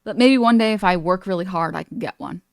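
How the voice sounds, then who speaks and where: A young woman streamer talks in a loose, rambling way, leaning on "like" and "you know" as she goes. Her voice sits on the low side for a woman. The sound is close and dry. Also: fast talker, creaky, darkish tone, dry recording. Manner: chatty and meandering, lots of "like" and "you know". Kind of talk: casual reaction stream commentary.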